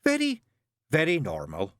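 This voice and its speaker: A male audiobook reader voicing a flustered, chatty woman who is a nun and nurse, talking in a gushing, hurried way. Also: on the high side, huge pitch swings, no room sound, clean studio sound, pitched above the performer's own voice. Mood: flustered reassurance.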